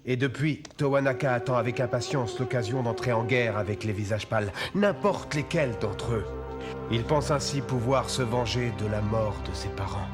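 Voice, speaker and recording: Man doing a calm wise cartoon chief, patient n authoritative, medium pitch, music behind. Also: moderate pace, close to an everyday voice. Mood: grave. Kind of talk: French animated film dubbing.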